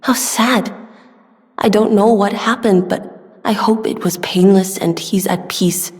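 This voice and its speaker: Woman voicing a gentle, wistful young woman in reverb. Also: medium pitch, steady tone, a little slow, close to an everyday voice. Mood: sad, resigned. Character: gentle young woman weapons smith, wistful, earnest, polite. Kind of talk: video game voice acting. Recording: long hall reverb.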